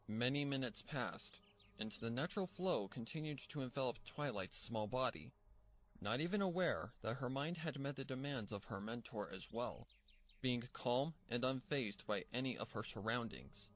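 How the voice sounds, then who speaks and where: A man reads scripted fiction as the narrator. His mid-pitched voice moves up and down a lot, giving the storytelling an animated shape.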